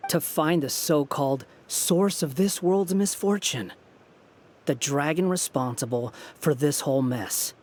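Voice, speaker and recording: A man's voice: fairly high pitch, a little slow, a little mellow. A male actor playing an aloof, irritable young hero in a video game, speaking with dismissive sarcasm. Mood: scornful, curt. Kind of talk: English video game voice acting. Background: game music.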